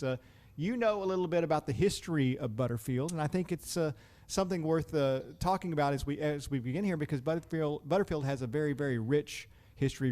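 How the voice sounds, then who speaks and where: Male podcast host, fairly high voice, conversational interviewer who rephrases as he goes. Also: darkish tone.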